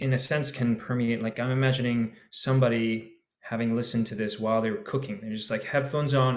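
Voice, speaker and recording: A man in his forties, an actor on a discussion panel, talking casually and searching for his words with many restarts. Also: lowish voice. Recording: echoey room.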